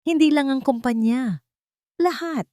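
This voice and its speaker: A woman's voice: sits high, unhurried, warm tone, no echo, studio quality, pitched above the performer's own voice. A woman voicing an older male general in an audiobook, cold and commanding, with heavy, clipped, emotionless delivery. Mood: commanding fury.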